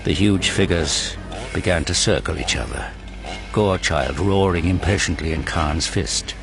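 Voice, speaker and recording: A man's voice: sits low, measured pace, music in the background. A man giving dramatic scripted narration for an audio drama.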